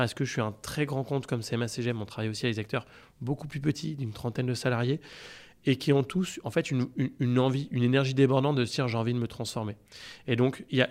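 A man speaking as a podcast guest, earnest and enthusiastic, often repeating words and restarting his phrases. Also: medium pitch. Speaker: podcast guest, company founder. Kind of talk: casual podcast talk.

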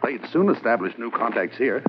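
An actor playing an undercover police corporal who poses as a millionaire, confident and lightly wry, in a 1950s radio drama. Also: male voice, mid-range voice, animated, a little fast, close to an everyday voice. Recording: muffled lo-fi old radio transcription with faint hiss.

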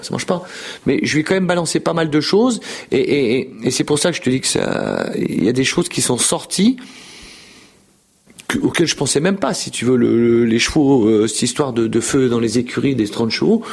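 Man in his fifties, raspy with some vocal fry, chatty interview guest who rambles. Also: medium pitch, big pitch swings, brisk. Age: 50s. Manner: rambling, repeats words, many fillers. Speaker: show jumping rider. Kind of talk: casual interview storytelling.

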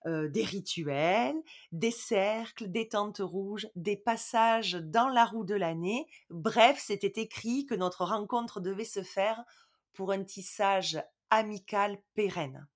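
A woman's voice: mid-range voice, slow pace. Lyrical woman interview host. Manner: lyrical, admiring, a little formal.

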